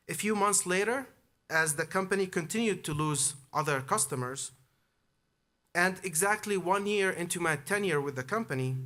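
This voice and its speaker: A man's voice: on the high side, sharp tone. A man in his thirties from Jordan delivering a prepared commencement speech built on his personal story. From Amman, Jordan. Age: 30s. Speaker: commencement speaker, alumnus.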